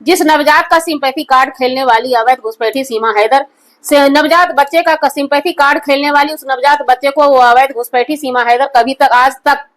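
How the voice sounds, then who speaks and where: A woman's voice: sits high, normal speed. A woman gives opinionated news commentary in an emphatic, repetitive and accusatory manner. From India. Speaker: online commentary host.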